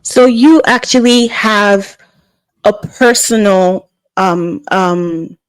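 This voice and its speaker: A woman's voice: mid-range voice, extremely slow, clear, resonant tone, no room sound, poor audio. A young woman hosting a podcast, upbeat and enthusiastic as she introduces and questions her guest. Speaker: podcast host. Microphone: video call.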